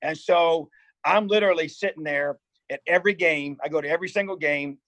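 A man's voice: a little high, a little fast, fairly bright tone, no echo. A man in his fifties from Indiana, a football coach telling his life story in a casual interview, rambling and earnest with many restarts. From Indiana, USA. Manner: rambling, earnest, frequent "you know" and restarts. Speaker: head football coach, guest.

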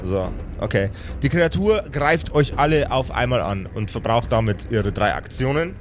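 A man's voice: medium pitch, animated, moderate pace, faint music behind. A man acting as game master, narrating an improvised tabletop game and slipping English words and swearing into his talk.

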